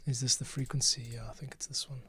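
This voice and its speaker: A man's voice: mid-range voice, flat delivery, fast talker, fairly bright tone. A man thinking aloud in an informal way as he edits audio, often muttering to himself. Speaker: podcast producer and audio editor. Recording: noisy room with keyboard clicks. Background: typing, mouse clicks, audio being edited.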